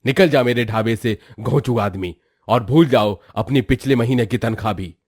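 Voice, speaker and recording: Man acting a low-voiced, stingy, grumpy boss. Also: moderate pace, a little mellow, dry recording, clean studio sound, lightly acted. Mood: angry. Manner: harsh, scolding, dismissive. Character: stingy, grumpy male roadside eatery owner. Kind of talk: acted moral-story dialogue.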